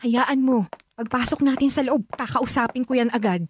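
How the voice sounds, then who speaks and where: A woman's voice: a little high, normal speed, slight background noise, close to an everyday voice. A woman acting a protective, caring Filipino aunt in a radio drama, earnest and emotional. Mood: reassuring. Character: protective, caring aunt, exasperated with her drunken sister.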